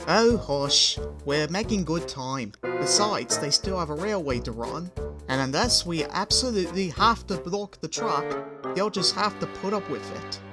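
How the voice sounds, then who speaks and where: A man's voice: a little high, animated, unhurried. A man voicing an exasperated, chiding talking engine in a children's railway tale, with music behind him. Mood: firm.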